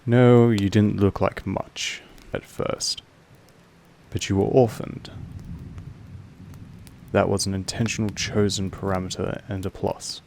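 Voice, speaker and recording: A man's voice: lowish voice, little pitch movement, slow pace, gravelly, pauses often, a little mellow, a little hiss or hum. A man playing a possessive, aloof vampire master in an audio drama, speaking formally and condescendingly in an intimate monologue to the listener. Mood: firm, teasing. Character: possessive, aloof male vampire master, patronizing yet fond. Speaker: voice actor in a roleplay audio drama.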